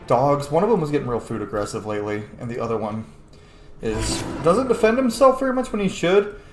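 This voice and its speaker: A man hosting a casual video game playthrough, informal and sweary, musing aloud as he plays. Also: medium pitch. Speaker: gaming host. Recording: roomy echo, faint game music. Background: faint game music.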